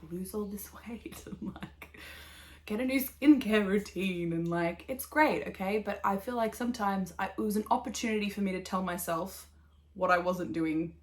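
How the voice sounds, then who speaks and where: A woman in her thirties with an Australian accent, hosting a casual knitting podcast, talking upbeat and rambling with lots of fillers and little laughs. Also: mid-range voice. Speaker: podcast host.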